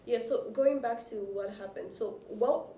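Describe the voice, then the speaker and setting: A woman's voice: on the high side, measured pace, warm tone. A woman hosting a podcast interview, asking questions and affirming her guest often. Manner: supportive, frequent backchannel affirmations. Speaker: podcast host. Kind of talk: interviewing, listening. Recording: distant echoey room with faint noise.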